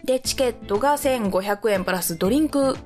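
High-voiced woman co-hosting over music. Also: fairly quick, a little mellow. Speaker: radio show co-host. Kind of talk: casual radio chat with announcements.

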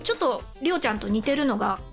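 A woman's voice: fairly high pitch, expressive, racing, soft background music. A woman hosting a casual radio chat, often laughing as she talks. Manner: giggly, often laughing while talking. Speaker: radio show host.

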